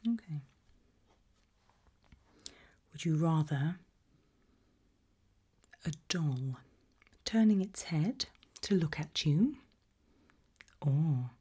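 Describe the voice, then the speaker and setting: Slow whispering woman, ASMR. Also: low voice. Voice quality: breathy, unvoiced whisper. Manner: slow, hushed, deliberate questioning. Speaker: ASMR host.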